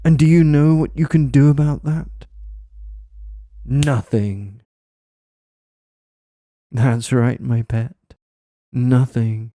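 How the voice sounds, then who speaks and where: A man delivers a commanding hypnosis script at a very slow, deliberate pace. His voice is deeply dark and mellow, sitting at an ordinary pitch, and the recording is dry and close with no room sound. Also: level tone, no room sound. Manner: dominant, commanding, repetitive. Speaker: hypnosis audio creator.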